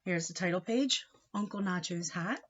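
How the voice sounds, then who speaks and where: A woman's voice: lowish voice, normal speed, a bit bright, dull audio, a little hiss or hum. A woman reading a picture book aloud for young children, explaining as she goes and putting questions to her listeners. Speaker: read-aloud teacher.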